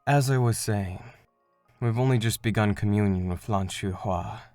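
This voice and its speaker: A man playing a calm, earnest mentor of a spiritual order in an animated fandub, speaking in a measured, solemn way. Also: fairly low pitch, no echo, faint music behind, natural voice. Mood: explanatory.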